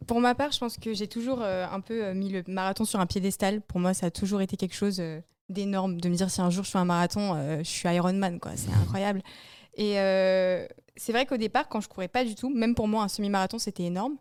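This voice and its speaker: A woman's voice: mid-range voice, fairly even intonation, brisk, a little mellow, clear, resonant tone, dry recording, clean studio sound. A woman in her early twenties chatting casually as a podcast guest, telling her own experiences in an informal, emphatic way. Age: early 20s. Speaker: podcast guest, amateur runner. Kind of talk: casual chat, personal storytelling.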